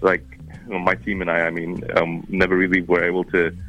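A man's voice: deep voice, completely flat delivery, fairly quick, dull audio. A man with an accent mixing Arabic and New Zealand English, a musician talking casually in an interview, rambling with many fillers and restarts. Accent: mix of Arabic and New Zealand. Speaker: musician guest.

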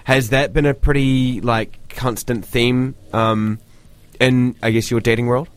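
A man hosting a casual interview, agreeable and quick to murmur assent. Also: mid-range voice, fairly even intonation, smooth, no echo. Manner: agreeable, frequent murmured backchannels. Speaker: interviewer host.

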